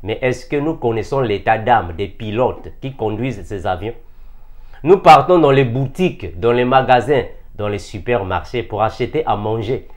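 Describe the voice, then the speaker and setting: A man's voice: medium pitch, lively intonation, moderate pace, darkish tone. A man from Africa preaches to fellow believers, emphatic and repetitive, asking rhetorical questions. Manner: emphatic, rhetorical questions, repeats key phrases. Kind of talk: impassioned sermon addressed to fellow believers.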